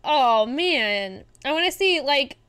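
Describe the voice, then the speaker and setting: A young woman streamer giving casual, gushing, excitable live reaction commentary. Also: high-pitched, slow pace. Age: young adult. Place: small indoor streaming room. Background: faint music from the video being watched.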